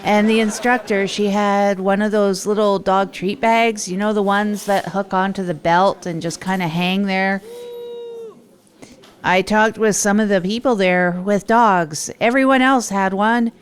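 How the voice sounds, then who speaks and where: A woman's voice: mid-range voice, fairly even intonation, measured pace, lightly acted. Earnest, rambling woman playing a hapless comic storyteller. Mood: eager, nervous performing. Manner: rambling, earnest, self-deprecating. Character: Tog, hapless adult storyteller and failed stand-up comedian. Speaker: narrator and radio host. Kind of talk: improvised comic monologue in an audio drama.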